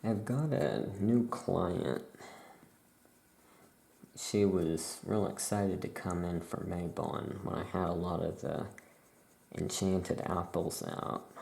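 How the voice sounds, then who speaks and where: A soft-spoken ASMR host playing a witch shopkeeper, casually improvising and thinking aloud in an unhurried, rambling way. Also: low voice, vocal fry.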